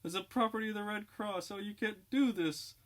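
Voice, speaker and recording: A man's voice: high voice, a little fast, a bit bright, pitched above the performer's own voice. A man voicing a frantic young Red Cross canteen girl in an audiobook reading, pleading and shrieking.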